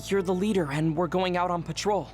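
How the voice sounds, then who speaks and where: A man playing a polite, concerned young male officer in a video game, courteous and gentle. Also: high voice, steady tone, fairly quick, soft background music, close to an everyday voice. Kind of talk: video game cutscene voice acting.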